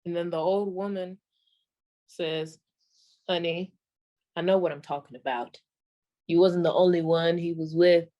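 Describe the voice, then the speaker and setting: A woman acts out a 1940s stand-up comedienne. Her voice sits a little low, and she tells her stories very slowly, with folksy, deliberate timing. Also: fairly even intonation, lo-fi sound, natural voice. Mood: storytelling, deadpan. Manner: folksy, drawn-out, deliberate delivery.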